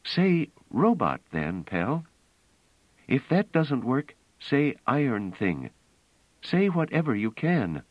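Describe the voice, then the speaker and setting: A man voicing a gentle but firm, protective young woman in an audiobook, soothing and insistent. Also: medium pitch, big pitch swings, slow, no room sound, dull audio, pitched above the performer's own voice. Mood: firm, urgent. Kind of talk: audiobook character voice.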